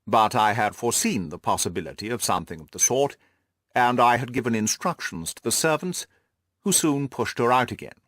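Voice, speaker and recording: A man's voice: mid-range voice, lively intonation, brisk, fairly bright tone, no room sound, studio quality, close to an everyday voice. A man voicing a haughty, self-satisfied lord in an audiobook, speaking in a pompous, formal, long-winded way. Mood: self-justifying. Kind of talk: audiobook character dialogue.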